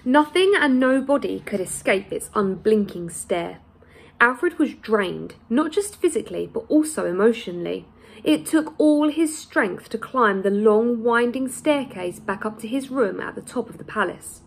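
A woman in her thirties narrates a children's story in a fairly high voice with a husky edge. She reads deliberately and expressively, pausing for drama as a primary teacher would. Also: animated. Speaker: primary school teacher reading aloud. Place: small plain room indoors.

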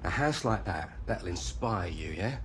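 A man's voice: low-pitched, lively intonation, measured pace, noisy background. A man playing a slick, pushy middle-aged football agent in a video game. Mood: persuasive. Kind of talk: video game cutscene dialogue.

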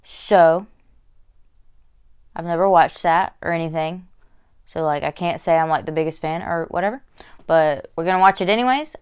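A woman's voice: fairly low pitch, clean tone. A young adult woman, hosting a reaction video in casual, gushing, informal chat to camera. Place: small bedroom, indoors. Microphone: lapel mic.

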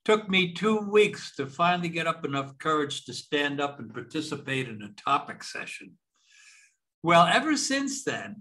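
Elderly male storyteller, bright forceful voice, fairly high pitch, acting out quotes on a video call. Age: over 70. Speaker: speech contest participant. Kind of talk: impromptu anecdotal speech.

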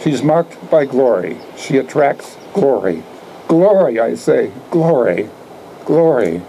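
Older man reading poetry, very mellow voice. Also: medium pitch, big pitch swings, slow, gravelly, slight background noise, low-quality recording. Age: older, 70s. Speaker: poet reading own work. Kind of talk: live poetry reading with asides. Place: outdoor garden reading. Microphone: microphone on a stand.

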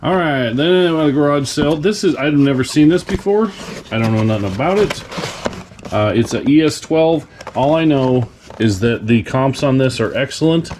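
A man's voice: mid-range voice, expressive, normal speed, darkish tone. An American man talking casually as a reseller showing his finds. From United States. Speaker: reseller and vlog host. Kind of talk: casual haul chat. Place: cluttered indoor workroom. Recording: noisy room, clinking items handled.

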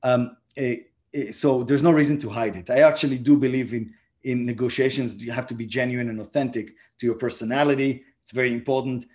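A man's voice: medium pitch, steady tone, fairly quick, a little mellow. A man in his forties with an Israeli accent, answering interview questions over a video call from home, with many fillers and restarts. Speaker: guest diplomat. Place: home room indoors.

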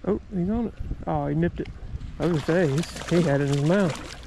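A man with a high, creaky voice talks in a folksy, exclamatory fishing-vlog style. He is recorded outdoors with clear ambient noise around him. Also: brisk. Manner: folksy, exclamatory, mild swearing. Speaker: fishing vlogger. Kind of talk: casual excited chat while fishing. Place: outdoors at a trout stream.

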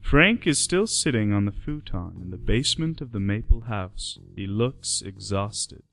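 A man's voice: on the low side, animated, a little slow, fairly bright tone, soft background music. A man narrating a radio play in scripted storytelling style.

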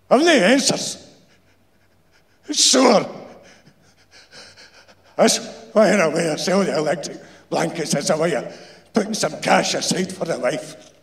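A man's voice: high-pitched, vocal fry, exaggerated voice. A man voicing a bitter, dying shipyard insulator with a Glasgow accent, blunt and emphatic, in a live story reading. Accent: Scottish, Glasgow. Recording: echoey hall, live stage PA.

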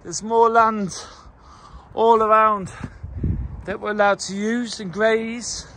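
A man in his forties with a fairly high voice, talking to his phone at arm's length as he wanders around a mountain farm. He chats in a loose, rambling way, full of fillers and restarts, with a faint hiss behind him. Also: steady tone, slow pace, a bit bright. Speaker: farm owner vlogger. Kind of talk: casual walk-and-talk vlog. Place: mountain farm, mostly outdoors, partly in a wooden kitchen. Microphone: phone held at arm's length.

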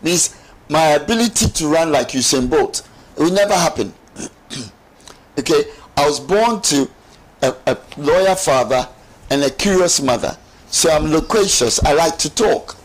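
A middle-aged man, explaining as a talk-show guest in emphatic, deliberate phrases. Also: on the high side, lively intonation, low-quality recording. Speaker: studio guest. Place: TV studio lounge set. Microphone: lapel mic.

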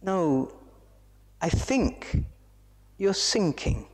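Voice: medium pitch, animated, extremely slow. A storyteller voicing an eager, know-it-all male jungle animal giving instructions in a raised voice. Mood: urging. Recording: echoey hall reverb.

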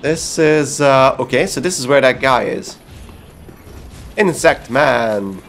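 A man's voice: mid-range voice, animated, takes it slowly, a bit bright, slight background noise. A man from Belgium giving casual gameplay commentary, talking to himself and swearing freely. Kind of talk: casual blind playthrough commentary.

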